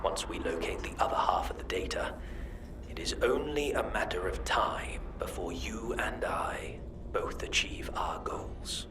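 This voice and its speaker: An actor playing an intense, scheming alien scholar in a video game, speaking in a formal, deliberate, theatrical way. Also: male voice, sits low, lively intonation, whispered. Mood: calculating.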